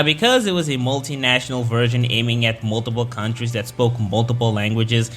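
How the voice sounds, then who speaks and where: A man's voice: medium pitch, a bit bright. A young man whose first language is Portuguese, delivering a sarcastic, sweary rant as a video essayist. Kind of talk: ranting commentary.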